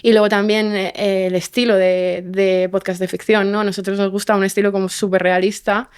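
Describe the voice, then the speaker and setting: Woman with an even, unanimated delivery. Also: medium pitch, brisk, clear, resonant tone. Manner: hesitant, rephrasing often, seeking agreement. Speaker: guest, fiction podcast writer. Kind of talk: casual interview chat.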